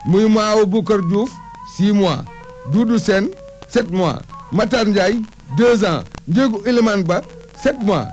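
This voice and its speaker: A man's voice: sits high, a little mellow. A man recounting history and lists of names in an emphatic, reciting way, as an oral historian in conversation. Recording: muffled lo-fi audio with music behind. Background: music.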